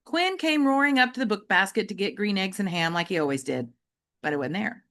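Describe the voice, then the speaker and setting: A woman reading a children's novel aloud like an audiobook, with deliberate, emphatic and varied phrasing. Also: mid-range voice, animated, fairly bright tone. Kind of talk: expressive audiobook-style storytelling for children.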